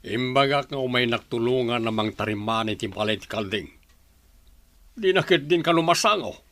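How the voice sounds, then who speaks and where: A man's voice: medium pitch, lively intonation, normal speed, fairly bright tone, no room sound, natural voice. A man playing a proud, grudging village father who takes offence easily, in a radio drama. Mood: grumbling. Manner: gruff, accusing.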